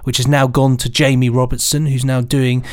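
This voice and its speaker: A man's voice: medium pitch, flat delivery, moderate pace, dry recording. Effusive male podcast co-host, dry sound. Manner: enthusiastic, effusive. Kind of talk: casual chat.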